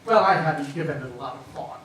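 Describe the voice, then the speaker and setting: A man's voice: a little high, expressive, fast, fairly bright tone. A man playing a jumpy, evasive, easily intimidated small-town mayor in an amateur stage comedy. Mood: flustered. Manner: hesitant, flustered. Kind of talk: amateur readers theater comedy. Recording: very echoey room, distant mic, clear noise.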